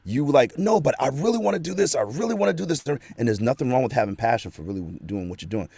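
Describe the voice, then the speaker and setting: A man on a video call as a guest, talking in a lively way and acting out quoted voices. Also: medium pitch, fast talker, gravelly, breathy edge. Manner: lively, acts out quoted voices, laughs often. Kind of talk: casual video-call chat.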